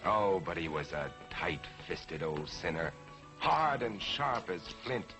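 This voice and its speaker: A man narrating as a storyteller in a theatrical scripted style, deliberate and emphatic, on a vintage 1940s television soundtrack. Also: deep voice, big pitch swings, bright, forceful tone, faint music behind. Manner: deliberate, emphatic, dramatic phrasing.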